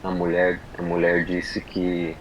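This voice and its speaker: A man from Brazil telling a personal story on a podcast, in unhurried, halting casual chat full of fillers and restarts. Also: low-pitched, completely flat delivery, lo-fi sound, slight background noise. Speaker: podcast guest.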